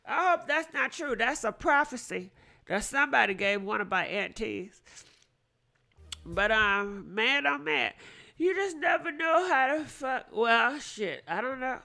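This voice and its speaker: Woman, high voice, bright tone, stuffed-up nose, frank and profane, livestream host. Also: lively intonation, measured pace, vocal fry. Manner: frank, swears freely, muses aloud. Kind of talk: casual livestream talk.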